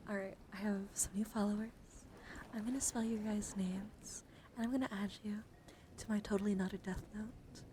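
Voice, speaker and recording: A woman live streamer doing ASMR, speaking softly and mostly in a gentle whisper to her viewers. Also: medium pitch, fairly even intonation, moderate pace. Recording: clearly audible background noise.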